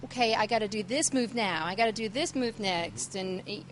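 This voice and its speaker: A woman's voice: mid-range voice, brisk, a little hiss or hum. A woman interviewing a guest for local TV, speaking casually with many fillers and restarts.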